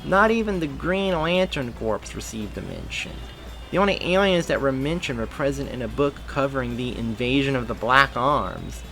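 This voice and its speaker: A man's voice: mid-range voice, creaky, sharp tone, rough recording. A man reading a story aloud as narrator in an emphatic way, now and then stumbling and rereading a phrase.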